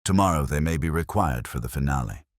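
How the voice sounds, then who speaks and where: A man voicing a gentle, unhurried, dryly ironic police inspector in a mystery story. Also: rumbling low, animated, no room sound, studio quality. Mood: dry, reflective. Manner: gentle, measured, merely courteous.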